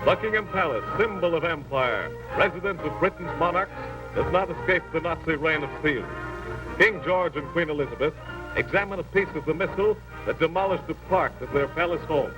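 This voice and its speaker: A male 1940s newsreel narrator with a fairly high voice, declaiming solemnly and dramatically. He sounds set back from the microphone on an old soundtrack, with loud music running behind him. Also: lively intonation, fairly quick, distant mic, low-quality recording.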